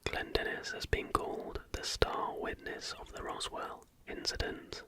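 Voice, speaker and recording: A man reading a factual script as ASMR in a soft, breathy, deliberate whisper, close to the microphone. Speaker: ASMR host. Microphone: close recording microphone.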